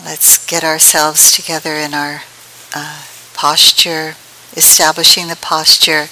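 A woman leading a guided meditation as a teacher, speaking gently in unhurried phrases with long pauses. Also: sits low, rough recording. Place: meditation hall at a group retreat. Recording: steady hiss behind the voice.

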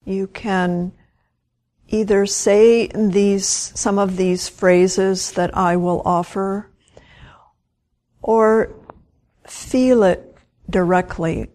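A woman leading a guided meditation as a teacher, gentle and unhurried, leaving long pauses between short phrases. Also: medium pitch, steady tone.

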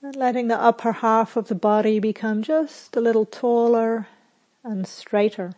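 A woman meditation teacher guiding a sitting meditation in a soft, unhurried way with long pauses. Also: fairly high pitch. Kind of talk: guided meditation. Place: retreat center.

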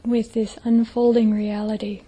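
A woman leading a guided meditation as a teacher, speaking gently in a soft, breathy, hushed voice with long pauses. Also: fairly high pitch, flat delivery.

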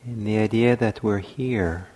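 A man with a deep, mellow voice guides a meditation. He speaks very gently, in short phrases separated by long pauses. His delivery is markedly slow and unhurried, like a teacher leading a practice. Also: fairly even intonation.

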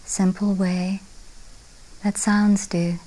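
A woman leading a guided meditation, speaking gently and softly in short, unhurried phrases with breathy endings. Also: mid-range voice, flat delivery, rough recording. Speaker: meditation teacher.

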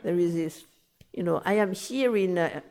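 A woman with a French accent giving a gentle, explanatory talk on meditation as a teacher. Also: lowish voice. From France. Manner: gentle, explanatory, repeats phrases, slow in places. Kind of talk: dharma talk. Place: meditation center.

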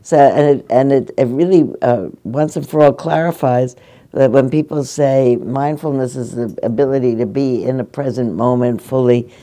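An older woman giving a meditation talk, speaking gently and haltingly as she answers questions from her audience. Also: rumbling low. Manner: gentle, halting, restarts often. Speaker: meditation teacher. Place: meditation hall.

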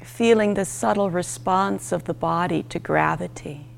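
A woman teaching a guided meditation, speaking in gentle, unhurried instruction with short, spaced phrases. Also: on the low side, gravelly, a little hiss or hum.

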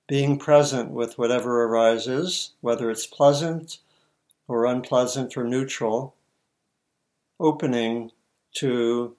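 A man teaching meditation, giving guided instructions in short, measured phrases with long pauses. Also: mid-range voice.

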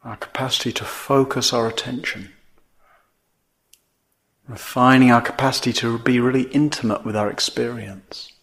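A man teaching a guided meditation in measured phrases, with long pauses between them. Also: medium pitch, steady tone, gravelly, a bit bright.

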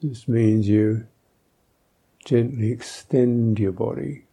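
A man teaching guided meditation as a monk, speaking in a gentle, thoughtful way with long pauses between phrases. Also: mid-range voice, slightly rough.

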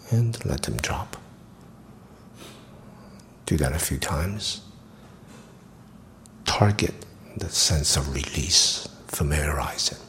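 Man, meditation teacher, very low pitch, soft and breathy, slow short phrases, long pauses. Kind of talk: guided meditation instruction.

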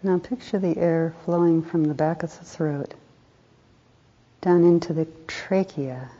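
A woman meditation teacher leading a guided meditation in a soft, calm voice, unhurried, with pauses between short phrases. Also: fairly low pitch. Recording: dull, muffled lo-fi recording.

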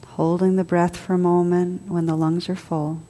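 A woman leading a guided meditation as a teacher, soothing and unhurried, speaking in short phrases with long pauses. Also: fairly low pitch, level tone, warm tone. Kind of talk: guided meditation, spoken to a group.

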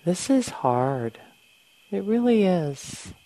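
Slow, low-voiced woman guiding meditation. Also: big pitch swings, rough recording. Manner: gentle, unhurried, with long pauses. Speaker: meditation teacher. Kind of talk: guided meditation, softly instructing.

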